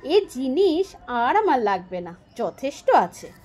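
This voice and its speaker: A woman's voice: sits high, big pitch swings, slow pace, darkish tone, faint music behind, full character voice. A woman voicing a simple, polite young village man in a storybook, earnest and guileless. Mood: casual, earnest.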